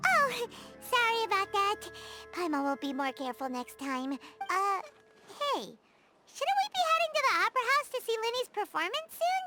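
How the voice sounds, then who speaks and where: A woman voicing a small, excitable, childlike fairy companion in a video game, with a squeaky voice and exclamatory way of talking. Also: moderate pace, exaggerated voice. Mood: sheepish. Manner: refers to self in third person, exclamatory. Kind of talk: animated video game voice acting. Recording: music behind the voice. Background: game music.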